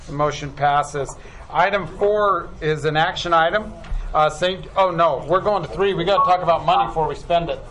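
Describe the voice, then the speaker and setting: A man chairing a public meeting, running through agenda items in a relaxed way with many fillers. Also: fairly high pitch, moderate pace, soft background music, low-quality recording. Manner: relaxed, filler-heavy, loosely worded. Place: meeting room.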